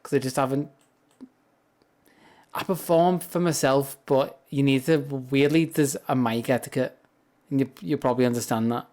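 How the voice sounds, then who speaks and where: A man chats in a loose, rambling way on a livestream. His voice is deep and grumbly, and he keeps it low instead of projecting, so a close, high-gain mic picks up every soft word. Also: steady tone, moderate pace. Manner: rambling, chatty, soft-spoken without projecting. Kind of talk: casual livestream chat. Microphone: high-gain streaming mic up close.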